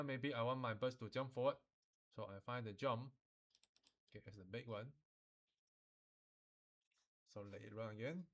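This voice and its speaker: Male software instructor, Malaysian or Singaporean accent, mid-pitched voice, informal step-by-step delivery with colloquial particles. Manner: colloquial phrasing with sentence-final particles like lah and lor.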